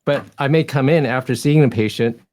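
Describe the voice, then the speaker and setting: A man's voice: medium pitch, brisk, darkish tone. A man in his fifties, from Taiwan, talking in a casual panel discussion as a clinician guest, thoughtful and hesitant, often correcting himself. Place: studio.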